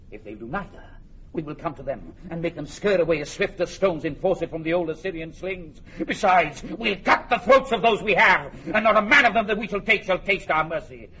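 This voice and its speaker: An actor playing a stern, pious English warrior king in classical Shakespearean radio style, declaiming from hushed gravity to ringing command. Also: fairly high pitch, slightly rough, full character voice. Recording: dull, noisy archival radio drama recording.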